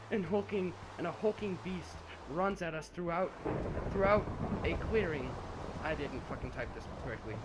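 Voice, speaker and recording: Young guy doing a goofy fake-scary story, kinda high voice, noisy background hiss. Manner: swearing, jokey, shifts between solemn and shouting. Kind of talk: mock-dramatic comedic storytelling.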